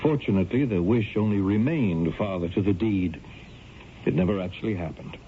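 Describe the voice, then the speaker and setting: An actor playing a reassuring doctor in a 1970s radio drama, articulate and authoritative. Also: male voice, low-pitched, a little fast, lightly acted. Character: measured, reassuring male doctor explaining a diagnosis. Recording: noisy muffled old radio recording.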